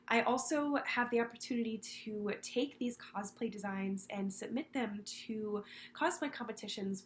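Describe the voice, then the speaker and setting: A young woman from Florida with a congested voice from a cold, earnestly telling her story with halting, repeated words. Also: medium pitch, a little hiss or hum. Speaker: student candidate. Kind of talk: prepared personal video statement.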